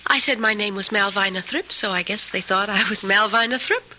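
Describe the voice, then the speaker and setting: A woman's voice: mid-range voice, a little fast, pushed and bright, slight background noise. An actress playing a domineering, manipulative woman houseguest with a commanding, patronizing manner, in old-time radio drama style. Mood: brisk, matter-of-fact. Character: domineering, bossy woman houseguest, coolly manipulative, quick to anger.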